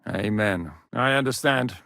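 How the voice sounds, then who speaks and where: Commanding medieval mercenary leader, close and dry. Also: male voice, fairly low pitch, vocal fry, bright, forceful tone, no echo, full character voice. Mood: reflective, frank. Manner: authoritative, blunt, swings from measured to barking. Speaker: video game voice actor. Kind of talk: dramatic video game dialogue.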